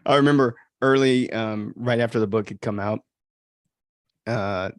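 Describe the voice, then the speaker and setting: A man who is an author and host, giving informal, halting commentary on his own book as he thinks aloud. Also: on the low side, dry recording.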